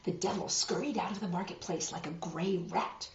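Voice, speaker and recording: A woman reading a picture book aloud to children, expressive and dramatic, pausing for asides to show the pictures. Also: lowish voice, slight background noise. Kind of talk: animated children's story reading with asides to viewers. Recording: roomy, dull lo-fi web video.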